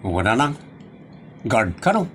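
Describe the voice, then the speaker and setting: A man's voice: on the low side, sing-song, slow, a little hiss or hum. A man with an Ilocano accent acting as a brash, shameless grown son in a comedy audio drama, cheeky and argumentative. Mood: indignant.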